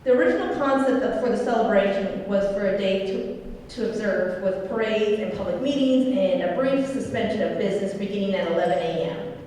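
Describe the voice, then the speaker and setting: A woman's voice: medium pitch, expressive, fairly quick, warm tone. A woman in her forties, originally from Iowa, speaks at a memorial ceremony. She works from prepared remarks, catching and restarting her phrases now and then. Her voice rings through a large, reverberant hall and is picked up from some distance, with a faint background hiss. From Iowa, United States. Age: 40s. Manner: reads from prepared remarks with frequent small restarts and self-corrections. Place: large university event hall. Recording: echoey hall from far off, faint noise.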